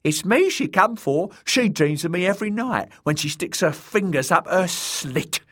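A man voicing a crowing, bawdy, cruel mad jester with a shrill voice and a gleeful, taunting manner. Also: expressive, normal speed, fairly bright tone. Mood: lewd boasting. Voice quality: shrill, braying.

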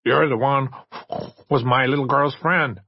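A man's voice: mid-range voice, fairly even intonation, unhurried, husky, no room sound, lo-fi sound, voice pushed up. A man voicing a drunken, despairing middle-aged father, slurring and tearfully pleading in self-pity.

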